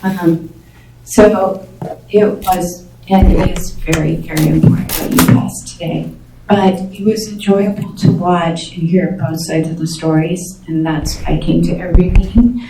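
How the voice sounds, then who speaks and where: A soft-spoken woman who runs a short-term rental, giving flustered public comment with many fillers at a county meeting. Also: fairly low pitch, fairly even intonation, measured pace, gravelly. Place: county board meeting room. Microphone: seated, away from the microphone. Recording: distant, echoey room audio with faint noise.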